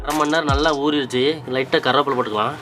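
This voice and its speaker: A man's voice: mid-range voice, racing. A man hosting an informal cooking video, instructing step by step and pointing things out to viewers. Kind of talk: informal recipe demonstration. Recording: loud background music. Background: music.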